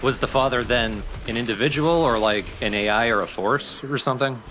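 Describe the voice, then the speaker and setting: A man's voice: mid-range voice, brisk, a bit bright, soft background music. A man co-commentating on a video game in a casual, chatty way. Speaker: co-commentator on a let's play. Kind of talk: casual chat over gameplay.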